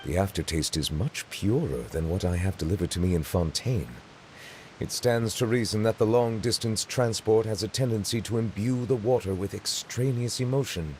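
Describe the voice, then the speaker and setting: An actor playing a stately, dry-humored male judge in a video game, speaking in a formal, precise, measured way. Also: on the low side, darkish tone, slight background noise, natural voice. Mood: composed.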